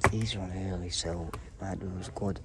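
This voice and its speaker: A man's voice: low-pitched, fairly even intonation, a little fast, crowd noise. A man chatting casually and bluntly in short informal remarks. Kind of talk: casual chat. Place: indoor auction warehouse. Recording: busy warehouse with people chattering behind.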